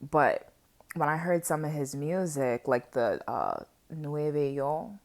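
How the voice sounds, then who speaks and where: Woman, 20s, low-pitched voice, unscripted personal vlog, conversational and animated, wanders between thoughts. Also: takes it slowly. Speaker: vlogger sharing personal reflections on history. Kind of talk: casual unscripted chat to viewers.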